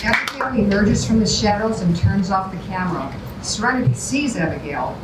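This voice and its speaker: Animated woman reading stage directions, distant. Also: medium pitch, a little fast. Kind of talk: scripted narration at a staged reading. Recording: echoey, noisy room, distant mic.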